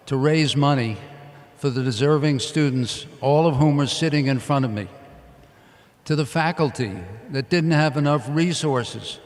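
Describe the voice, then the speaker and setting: A man's voice: fairly high pitch, moderate pace, a little mellow. A man giving a motivational commencement speech in emphatic short, repeated phrases. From Queens, New York, United States. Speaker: commencement speaker. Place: large indoor arena at a commencement. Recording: echoey large arena, long reverb.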